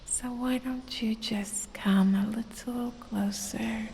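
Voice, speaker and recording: Woman as a slow, whispery, predatory lamia temptress. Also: fairly even intonation, warm tone, slight background noise. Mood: coaxing, teasing. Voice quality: breathy, whispery. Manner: slow, drawn-out, seductive teasing with low chuckles. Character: sultry predatory female lamia, teasing, coaxing, quietly menacing. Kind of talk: ASMR audio roleplay. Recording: long cavernous reverb.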